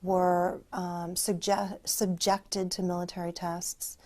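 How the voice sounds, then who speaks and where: Lower-pitched American woman, halting researcher. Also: level tone, mellow. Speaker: sociologist, researcher being interviewed. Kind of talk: interview answers, explanatory.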